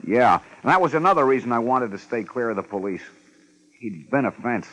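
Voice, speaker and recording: An actor playing a wary, gruff man on the run in a 1950s radio crime drama, with an American accent and guarded, slangy talk. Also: mid-range voice, animated, fairly quick, fairly bright tone, natural voice, poor audio. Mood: explaining, wary.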